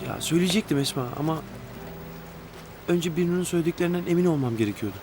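A man's voice: fairly high pitch, lively intonation, fast, darkish tone, slight background noise, close to an everyday voice. An actor playing a young rich man who pleads desperately and pities himself, in a TV drama with music beneath. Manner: pleading, emotional outbursts. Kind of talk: TV drama acting.

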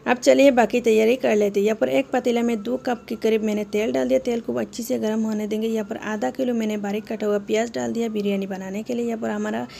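A woman's voice: on the high side, fairly even intonation, fast, vocal fry, hardly any pauses, mellow, slight background noise. A woman talking the viewer through a home cooking recipe step by step, in an instructive voice-over. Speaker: cooking channel host.

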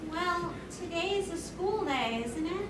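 Very high-pitched woman in an echoey room. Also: expressive, a little slow. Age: young adult. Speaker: convention panel co-host reading a part. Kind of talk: live comedic script reading with asides. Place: convention panel room stage. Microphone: far camera. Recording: echoey room from far off, noisy.

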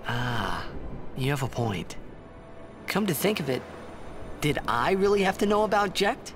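An excitable, boyish young male game hero, brash and defensive. His higher-pitched voice swings about in lively fashion over music. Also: measured pace. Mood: indignant. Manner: exclamatory, defensive. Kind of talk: video game voice acting.

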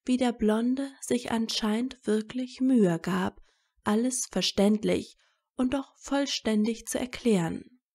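Female audiobook narrator, unhurried delivery, relaxed tone with some vocal fry. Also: medium pitch.

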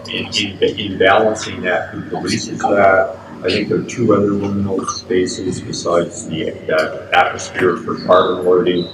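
An older man speaking as a panelist, rambling and halting with many fillers. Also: deep voice. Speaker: conference panelist. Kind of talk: academic panel discussion. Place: outdoor conference terrace. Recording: distant echoey mic with background noise.

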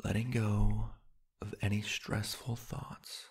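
Male sleep-hypnosis narrator: lowish, husky with some fry, soft, unhurried delivery with long pauses. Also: little pitch movement. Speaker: guided meditation narrator. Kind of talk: sleep hypnosis guided meditation, slow scripted narration.

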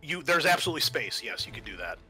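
A man's voice: fairly high pitch, big pitch swings, quick pace, bright, forceful tone, faint music behind. A man narrating a tabletop roleplaying game as game master, casual and thinking aloud as he goes.

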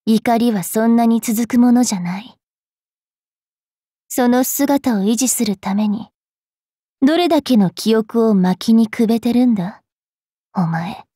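Haughty high-voiced vampire fairy girl. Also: a little slow, long pauses, dark tone, no room sound, clean studio sound. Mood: somber. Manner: brash, teasing, rough-spoken. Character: haughty, mischievous young female vampire fairy priestess. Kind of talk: anime game character voice lines.

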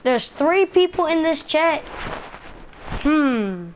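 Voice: sits high, very animated, takes it slowly, slight background noise, rough recording. A young streamer chatting casually over gameplay in a slangy, rambling way. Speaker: gaming streamer.

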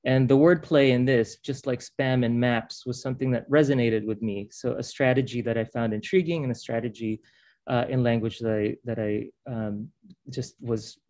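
A man's voice: mid-range voice, a little mellow. A man from the Philippines walks through his art practice over a video call. He speaks in a mid-range voice and hesitates often, filling the gaps with ums and uhs. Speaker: artist. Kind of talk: artist talk on a video call.

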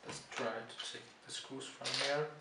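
A Danish man with a Danish accent gives casual, halting tutorial commentary as he works with his hands at an indoor bench. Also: medium pitch, fairly even intonation. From Denmark, Copenhagen. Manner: halting, mumbled asides while working with hands. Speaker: repair tutorial presenter, parts seller. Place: indoor workbench. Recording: distant roomy mic, loud clicking noise.

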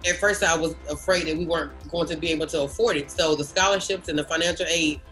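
A man's voice: fairly high pitch, steady tone, quick pace, bright, forceful tone, faint music behind, rough recording. A man who is a client's parent, speaking candidly in an interview.

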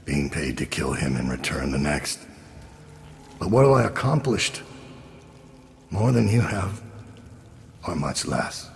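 Gravel-voiced, very deep, weary assassin. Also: male voice, a little hiss or hum, heavily acted. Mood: bitter, weary. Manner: slow, grim, deliberate delivery. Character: weary, brooding middle-aged male assassin full of regret. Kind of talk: dramatic video game monologue.

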